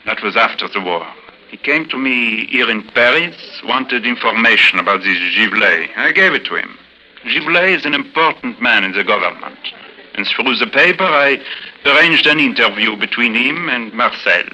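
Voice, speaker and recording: An actor playing a guarded, principled French newspaperman in a 1950s radio drama, speaking gravely and with measured reticence. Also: medium pitch, animated, sharp tone. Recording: noisy old 1950s radio recording.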